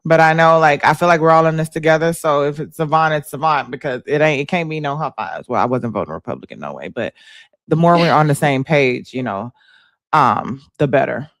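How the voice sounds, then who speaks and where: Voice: mid-range voice, lively intonation, brisk, vocal fry, a bit bright, no room sound. An American livestream host chatting casually about politics in an informal, conversational way.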